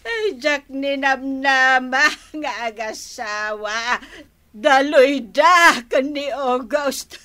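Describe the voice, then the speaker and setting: High, drawn-out, whining Ilocano neighbour. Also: female voice, pushed and bright, heavily acted. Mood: lamenting, jealous. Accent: Ilocano, northern Philippines. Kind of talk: Ilocano radio drama acting.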